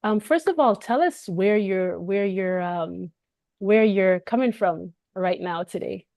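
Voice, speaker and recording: A woman's voice: mid-range voice, unhurried, a little mellow, dry recording, studio quality. A woman hosting a casual livestream, talking in a rambling way with many restarts and fillers. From Canada. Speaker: livestream host.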